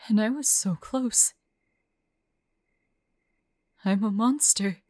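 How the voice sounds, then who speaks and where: A woman voicing a tormented young monster girl fighting bloodthirst, tender and frightened, halting and often dropping into whispers in an intimate audio roleplay. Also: medium pitch, dark tone, no room sound, clean studio sound, close to an everyday voice. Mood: anguished, struggling.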